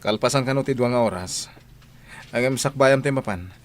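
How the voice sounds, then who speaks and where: A man playing a courteous grown son and household boss in a radio drama, measured and authoritative. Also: mid-range voice, slight background noise, close to an everyday voice. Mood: polite, businesslike.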